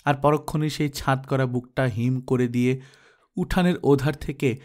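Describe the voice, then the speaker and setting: A man's voice: medium pitch, fairly even intonation, moderate pace. Male storyteller reading literary fiction. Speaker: storyteller, audiobook narrator. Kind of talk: dramatic literary scripted narration.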